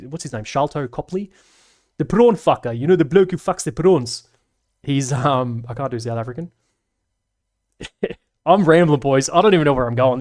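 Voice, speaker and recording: A young man hosting a live stream, rambling and swearing casually as he reads chat and laughs along. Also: mid-range voice.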